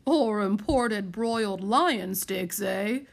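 A woman's voice: medium pitch, slow pace, creaky. Woman doing a hearty, jocular blustering colonel. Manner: hearty, chortling. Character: jocular, blustery old colonel fond of his own jokes. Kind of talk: acted storybook dialogue.

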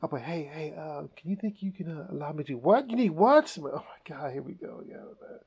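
Creaky-voiced male podcast host. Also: a little high, quick pace. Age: adult. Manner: laughs often, animated storytelling. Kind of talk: casual comedic chat.

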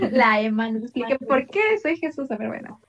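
A young woman with an Ecuadorian accent tinged by Spain chats and jokes about herself. Her voice sits a little high. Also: lively intonation, normal speed, fairly bright tone. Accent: Ecuadorian with some Spanish from Spain. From Quito, Ecuador, raised in Spain. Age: 20s. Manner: chatty, laughing, self-mocking. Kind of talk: casual group chat.

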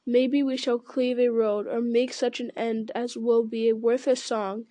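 A woman reading aloud voices a weary, doubtful old warrior king, speaking in a grave, measured way. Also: fairly high pitch, level tone, mellow, no room sound, lightly acted.

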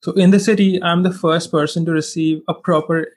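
Young guy from india, hindi first language, reflective, kinda high voice, lots of "like". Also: fairly even intonation, brisk. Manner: reflective, frequent "like" and "you know". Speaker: guest. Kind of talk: casual interview chat.

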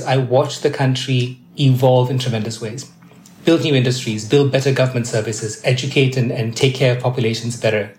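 A man in his fifties from Malaysia, a development adviser explaining ideas in a measured, thoughtful way as a podcast guest. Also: mid-range voice, a little mellow, slight background noise. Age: 50s. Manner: measured, thoughtful, occasional restarts. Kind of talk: explanatory interview answers. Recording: echoey room.

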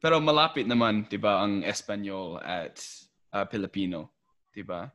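A man's voice: lowish voice, moderate pace, a bit bright, lo-fi sound. Laid-back American man hosting a podcast. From United States. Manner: laid-back and chatty, laughs often, many fillers. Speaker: podcast host. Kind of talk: casual podcast chat mixing English and Tagalog.

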